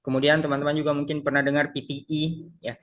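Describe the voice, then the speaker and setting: A man's voice: a little high, level tone, quick pace, a bit bright, slight background noise. A man teaching a casual class, talking to his listeners as friends and often stopping to restart his sentences. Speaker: IELTS teacher. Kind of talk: casual online class lecture.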